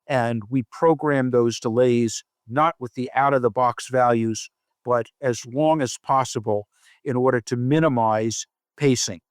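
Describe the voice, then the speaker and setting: A man speaking as a physician researcher in an interview, explaining findings in a measured, methodical and precise way. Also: medium pitch, clear, resonant tone, no echo, clean studio sound.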